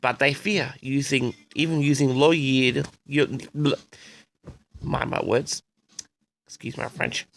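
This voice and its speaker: A man's voice: mid-range voice, steady tone, takes it slowly, creaky. A man hosting a personal audio log, rambling casually and hesitantly, often repeating himself as he addresses his listeners. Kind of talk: rambling casual monologue to audience.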